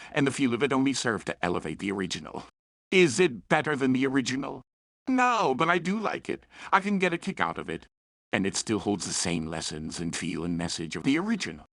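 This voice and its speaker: A man's voice: fairly low pitch, creaky, a bit bright, dry recording, studio quality. A man delivering a scripted review with opinionated, emphatic delivery. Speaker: story reviewer.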